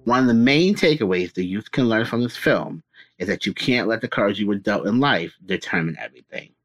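A man's voice: on the low side, animated, a little fast, fairly bright tone, soft background music, rough recording. A man from Connecticut reading a prepared film response with expression. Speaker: student guest.

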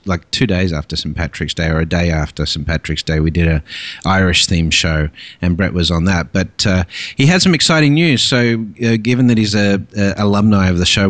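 A man's voice: deep voice, dry recording. A man from Australia hosting a podcast, chatting casually with lots of fillers and restarts. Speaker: podcast host. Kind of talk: casual interview chat.

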